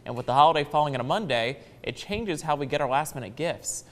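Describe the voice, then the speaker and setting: A man's voice: a little high, lively intonation, fast, big-room sound. A man with an American accent reading scripted news as a television anchor. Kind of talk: scripted newscast delivery.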